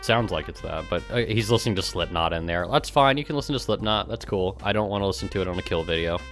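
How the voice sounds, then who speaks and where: Male streamer, low register, dry sarcasm and exasperation, casual sweary commentary over faint music. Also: animated, quick pace, fairly bright tone. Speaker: streamer and gaming guild member. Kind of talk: live stream reading aloud with sarcastic commentary.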